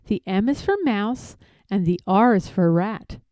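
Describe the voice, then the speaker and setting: A woman's voice: mid-range voice, expressive, a little slow, mellow, no room sound, studio quality. A woman reading a rhyming picture book aloud expressively, drawing out key words for emphasis. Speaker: read-aloud storyteller.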